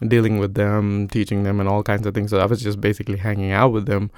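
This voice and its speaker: A man's voice: fairly low pitch, level tone, quick pace, a little mellow, clear, resonant tone, no echo, clean studio sound. A man from India, a Telugu speaker, talking thoughtfully as an interview guest with lots of fillers. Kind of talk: conversational interview.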